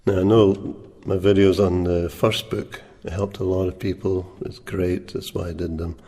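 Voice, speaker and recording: A soft-spoken man teaching a guitar lesson, unhurried, pausing often with ums and uhs. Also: low voice, little pitch movement, breathy edge. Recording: reverberant room with guitar playing.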